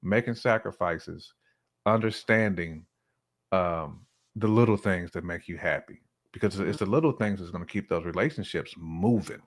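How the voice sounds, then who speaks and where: A man's voice: deep voice, normal speed. A man co-hosting a podcast in casual, colloquial conversation, repeating himself for emphasis. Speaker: podcast co-host.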